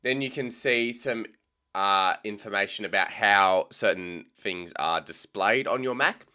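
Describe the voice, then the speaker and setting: Low, bright-toned Australian man explaining. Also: unhurried, smooth. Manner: casual explaining with frequent ums. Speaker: tech tutorial host. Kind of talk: screen-recorded software tutorial.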